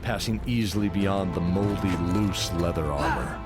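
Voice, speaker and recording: A man's voice: low-pitched, moderate pace. A man narrating a scripted fantasy audio drama as a dramatic storyteller. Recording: loud music under the voice. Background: loud music.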